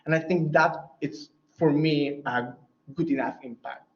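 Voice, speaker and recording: A man's voice: on the high side, fairly even intonation, unhurried. A man whose first language is Polish answers audience questions after a keynote lecture, thinking aloud with many fillers and restarts. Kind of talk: academic Q&A answer. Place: lecture hall. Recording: roomy, dull lecture hall audio.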